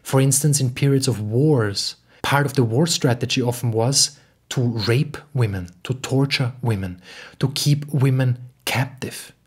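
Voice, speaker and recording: A young man from Austria speaking in an earnest, emphatic way, slowly repeating phrases as he talks alone to the camera at home. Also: medium pitch, slightly rough. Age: young adult. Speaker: podcast host and spiritual teacher. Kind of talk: reflective solo talk. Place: home living room.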